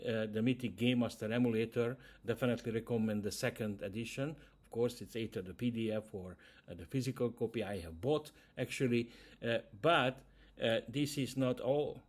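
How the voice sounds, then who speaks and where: A man from Hungary with a Hungarian accent, speaking English as a second language, talking casually to camera as host, with frequent hesitations. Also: mid-range voice. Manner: hesitant, many "uh" fillers, non-native grammar. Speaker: YouTube channel host.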